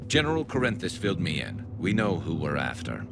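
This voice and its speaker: A man playing a battle-hardened alien soldier in a video game, a loyal, dry and confident military advisor with a flanged, gravelly voice and clipped delivery. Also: sits low, wildly swooping pitch, fairly quick, noisy background, heavily acted. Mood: measured, confident. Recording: loud game soundtrack behind voice.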